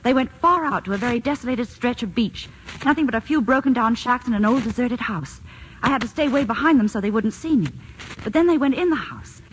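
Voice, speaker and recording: A woman's voice: fairly high pitch, lightly acted, poor audio. An actress playing an anxious, earnest married woman warning an old friend, in a hesitant, confiding 1940s radio drama style. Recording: hissy vintage radio broadcast.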